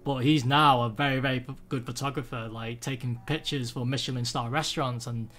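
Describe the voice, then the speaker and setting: A man's voice: mid-range voice, steady tone, a little fast, a bit bright. A young man with a British accent, chatting casually on a podcast over a video call from home, full of fillers and restarts. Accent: British English. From United Kingdom. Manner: rambling, many fillers and restarts. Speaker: podcast host. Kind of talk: casual chat. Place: home room, indoors.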